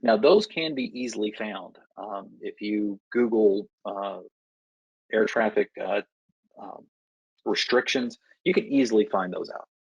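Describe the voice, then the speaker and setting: American guy, low kinda husky voice, explaining stuff step by step, really dry close sound. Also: measured pace, a little mellow, no room sound, highs cut off. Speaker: air traffic controller answering questions. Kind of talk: explanatory Q&A talk to pilots.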